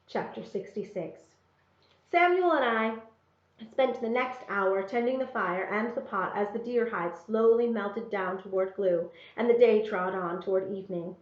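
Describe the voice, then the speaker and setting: A woman reading a first-person story aloud as narrator, in a measured, expressive storybook manner. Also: mid-range voice. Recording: roomy, slightly dull sound.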